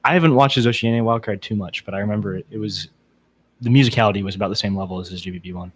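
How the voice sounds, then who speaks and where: Male podcast co-host, on the low side, informal conversational delivery. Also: very fast, husky. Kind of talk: casual chat about beatbox competitions.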